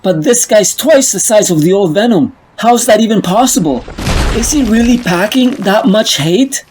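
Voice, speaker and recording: A man's voice: sits high, animated, normal speed. A man acting a wry masked superhero, noisy backdrop. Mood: tense, focused. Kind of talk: comic-book internal monologue and banter. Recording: noisy ambient sound behind.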